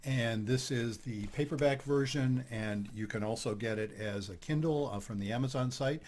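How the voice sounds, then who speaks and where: An older man co-hosts a podcast about couples, speaking in a relaxed, chatty manner. His voice sits at an ordinary middle pitch for a man. He pokes gentle fun at himself as he talks. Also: moderate pace, a little hiss or hum. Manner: relaxed, chatty, self-deprecating humor. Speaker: podcast host, couples therapist. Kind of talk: casual podcast chat.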